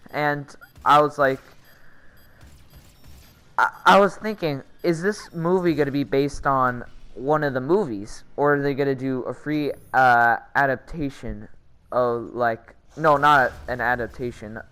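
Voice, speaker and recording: Bright, clear-voiced man rambling casually. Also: on the high side, poor audio. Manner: hesitant, self-correcting, slangy. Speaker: gaming vlogger. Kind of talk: casual rambling commentary over gameplay.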